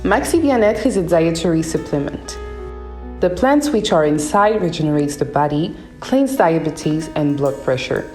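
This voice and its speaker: A man's voice: a little high, animated, measured pace. A man reading a scripted product advertisement as an announcer. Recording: echoey, music behind. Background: music.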